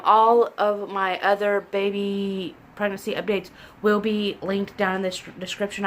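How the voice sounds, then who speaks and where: A woman's voice: medium pitch, a bit bright, slight background noise. A young woman from southern Indiana, congested, chatting casually in a rambling, self-deprecating way to a camera in her living room. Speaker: pregnancy vlogger. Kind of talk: casual vlog chat. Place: living room at home, indoors. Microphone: camera in front at desk distance.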